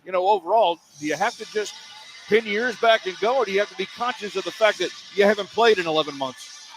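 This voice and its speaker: A man's voice: sits high, fast, noisy background. An American man hosting a sports radio pregame broadcast as play-by-play announcer, with a polished delivery.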